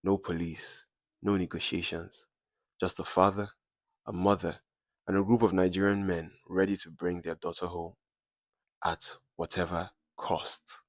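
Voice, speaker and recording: A man's voice: low-pitched, little pitch movement, measured pace, no echo. A man narrating a scripted dramatic story in suspenseful, short clipped phrases.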